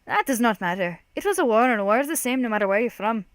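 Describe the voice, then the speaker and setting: A woman's voice: mid-range voice, moderate pace, no room sound, lightly acted. A woman voicing a rambling old drunken war veteran telling stories in an emphatic, meandering way. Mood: insistent, reminiscing. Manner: odd cadence, emphatic, meandering storytelling.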